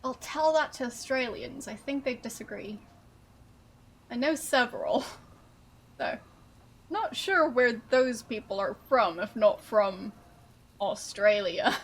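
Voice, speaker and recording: A woman streamer chatting casually with her viewers, relaxed and amused as she answers their guesses. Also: on the high side, slow pace, pauses often, slightly rough.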